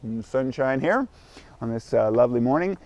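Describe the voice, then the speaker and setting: A man's voice: mid-range voice, lively intonation, brisk, darkish tone, dry recording. Forty-something guy vlogging outdoors, chatty and candid, keeps correcting himself mid-sentence. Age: 40s. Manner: rambling, self-correcting, candid. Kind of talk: casual self-recorded vlog. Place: outdoors in morning sunshine.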